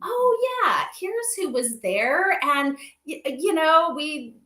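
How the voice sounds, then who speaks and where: Bright, high-voiced woman in a roomy space. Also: slow pace. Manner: animated explainer, acts out example dialogue. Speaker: interview guest, company advisor on AI memorial companions. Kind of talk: casual livestream interview, explaining. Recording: roomy, echoing sound.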